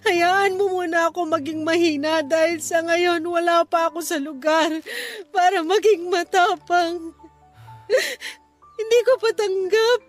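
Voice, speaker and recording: Woman acting very high-voiced grieving Filipino mother. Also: steady tone, unhurried, faint music behind. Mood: tearful grief. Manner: emotional, pleading. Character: grieving, guilt-ridden young mother who lost her son. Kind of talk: Filipino radio drama acting.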